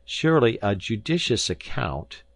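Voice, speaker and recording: A man's voice: fairly low pitch, very animated, slow, no echo, heavily acted. A man voicing an earnest, hot-tempered visitor in an audiobook, protesting emphatically but respectfully. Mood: pleading protest. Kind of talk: audiobook character acting.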